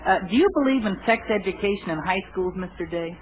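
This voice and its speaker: A man's voice: high-pitched, animated, fast talker, narrow, tinny audio. A man playing a polite but drily exasperated schoolboy reporter in a 1940s radio comedy. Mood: dry, exasperated. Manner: polite, dry, wry. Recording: noisy band-limited 1940s radio recording.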